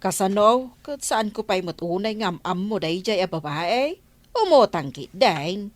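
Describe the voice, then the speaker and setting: A woman's voice: medium pitch, animated, unhurried, no room sound, lightly acted. A woman with an Ilocano accent playing a wary, gossipy village shopkeeper in a radio comedy drama. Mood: defensive.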